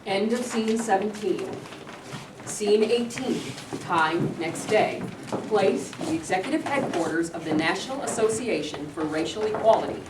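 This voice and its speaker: A woman's voice: mid-range voice, expressive, measured pace. A woman reading the stage directions aloud in a plain, matter-of-fact way. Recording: echoey room, read from far off, noisy.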